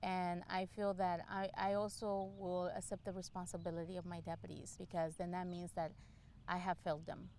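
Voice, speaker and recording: A middle-aged woman from El Salvador giving casual, candid interview answers, thinking aloud in halting, restarted phrases. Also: fairly low pitch, little pitch movement, a little mellow, slight background noise. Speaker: interview guest, law enforcement candidate for office.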